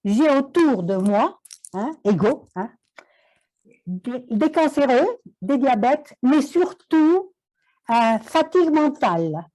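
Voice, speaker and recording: High, mellow-voiced woman asking a question. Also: big pitch swings, low-quality recording. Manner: chatty, pauses for the interpreter. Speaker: audience member asking a question. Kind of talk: question in an online Q&A.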